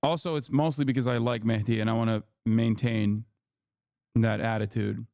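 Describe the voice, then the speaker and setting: Profane ranting male streamer, crisp dry voice. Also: mid-range voice, fairly even intonation, moderate pace, darkish tone, dry recording. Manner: heated, profane, rambling ranting. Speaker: live streamer. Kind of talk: casual live-stream rant to chat.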